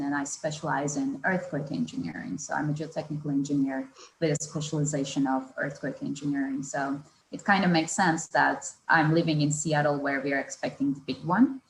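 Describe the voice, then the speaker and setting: A woman's voice: deep voice, steady tone, fairly quick, reverberant, a little hiss or hum, rough recording. A woman from Turkey moderating an online panel, asking thoughtful open questions.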